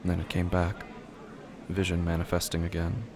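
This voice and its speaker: A man narrating a scripted dramatic story in a slow, grave, hushed manner. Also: low-pitched, monotone, a crowd in the background.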